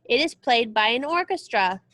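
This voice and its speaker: A woman reading a children's music book aloud as a teacher, expressive and explanatory. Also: a little high, moderate pace, no room sound. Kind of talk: read-aloud lesson for children.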